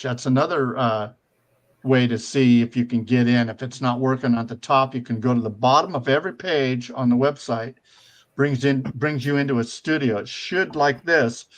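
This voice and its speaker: A man's voice: mid-range voice, fairly bright tone. A man in his seventies hosting a casual live-stream talk show, rambling with many fillers and restarts. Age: 70s.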